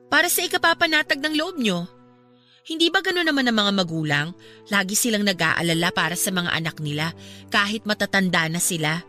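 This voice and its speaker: A woman playing a polite but persistent young woman in a radio drama, earnest and quietly pointed. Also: mid-range voice, a little fast, faint music behind, close to an everyday voice.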